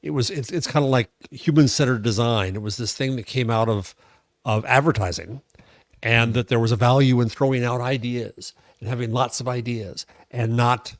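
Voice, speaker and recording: An older man with a mid-pitched voice gives casual opinions as a podcast co-host. He is wry and halting, restarting as he thinks aloud. The recording is dry and free of room sound. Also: dry recording.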